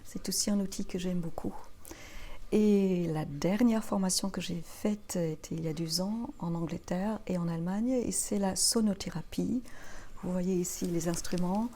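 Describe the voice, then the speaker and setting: A woman in her fifties from Belgium, a native German speaker, answering interview questions as a practitioner in a measured, explanatory way. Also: fairly low pitch, mellow, slight background noise. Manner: measured, explanatory, listing her path step by step. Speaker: guest, family constellation practitioner.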